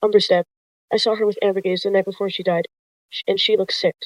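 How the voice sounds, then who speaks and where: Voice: mid-range voice, level tone, fast talker, no echo, close to an everyday voice. A narrator voicing an anxious, secretive female cat clan leader in a roleplay story, hesitant and guarded. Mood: reluctant. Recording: dull lo-fi close dry recording.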